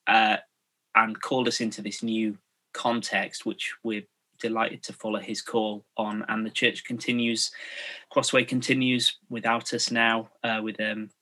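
Man in his forties, pastor guest, bright voice, modest, lots of ums. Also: fairly low pitch, flat delivery, measured pace. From North Yorkshire, England. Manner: modest, self-deprecating, many fillers. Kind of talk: casual interview chat.

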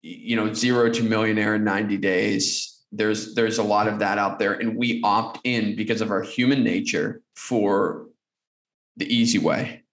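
A man's voice: lowish voice, steady tone, a little slow, reverberant. A man answering as a podcast guest, talking in an earnest, motivational way. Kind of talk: conversational interview answers.

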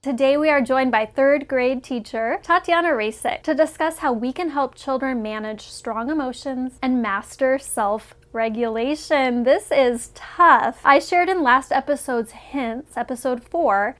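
Higher-voiced woman hosting an interview. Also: a little slow, hardly any pauses. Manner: friendly, laughs often, chatty asides. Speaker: podcast host and interviewer. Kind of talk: conversational interview.

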